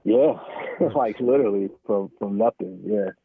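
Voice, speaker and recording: An American man speaking candidly and informally as an interview guest, halting often and peppering his talk with "man" and "you know". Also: lowish voice, gravelly, a little hiss or hum. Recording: thin phone-like line, dry.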